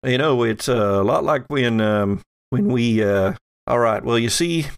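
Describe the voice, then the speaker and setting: A man's voice: mid-range voice, vocal fry, smooth. A man voicing a polite, folksy doppelganger in a tabletop game, rambling and hesitant as he goes. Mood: hesitant, explaining. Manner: halting, rambling, folksy, apologetic. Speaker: game master.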